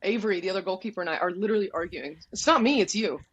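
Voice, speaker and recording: A young woman from Texas, a college goalkeeper telling stories in a loud, direct, animated way during a casual interview. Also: mid-range voice, rapid-fire, muffled recording, slight background noise. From Dallas, Texas. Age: young adult. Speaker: soccer goalkeeper, guest.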